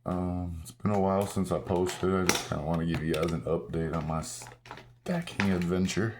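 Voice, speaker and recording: Hoarse male vlogger, very low, loose self-correcting chat. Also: fairly even intonation, normal speed, background noise. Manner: rambling, self-correcting, chuckles at himself. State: hoarse, partly lost voice. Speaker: coin-collecting vlogger. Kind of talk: casual show-and-tell vlog.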